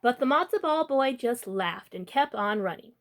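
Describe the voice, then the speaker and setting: A woman's voice: fairly high pitch, lively intonation, moderate pace, a little hiss or hum. A woman hosting a storytime, reading a children's picture book aloud as narrator.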